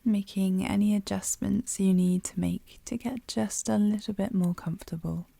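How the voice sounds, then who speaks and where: A woman leading a guided yoga nidra meditation, speaking softly and soothingly with a breathy voice that often drops near a whisper. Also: medium pitch, fairly even intonation, dry recording. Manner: soothing, gentle, unhurried pauses.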